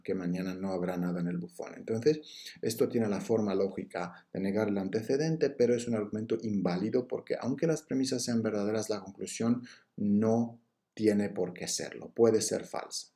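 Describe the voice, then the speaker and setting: Hombre de voz grave y rasposa. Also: male voice, lowish voice, normal speed, dark tone. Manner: explanatory, hesitant with frequent fillers and restarts. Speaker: university lecturer. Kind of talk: recorded logic lecture.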